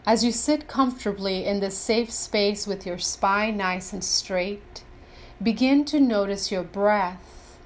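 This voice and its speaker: A woman leading a scripted guided meditation in a deliberate, reverent, instructional way. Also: mid-range voice.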